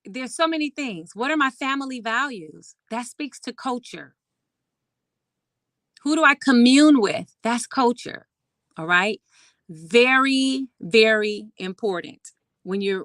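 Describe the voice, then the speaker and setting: Host: middle-aged woman, bright chatty voice, emphatic, close dry mic. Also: on the high side, a little slow, no room sound. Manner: emphatic, chatty, laughs often. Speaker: clinical psychologist and host. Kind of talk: casual talk on mental health.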